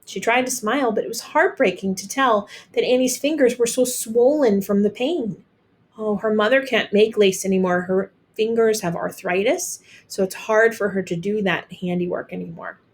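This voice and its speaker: A woman reading a children's story aloud as an expressive storyteller. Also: medium pitch, moderate pace, slight background noise. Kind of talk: expressive children's picture book narration.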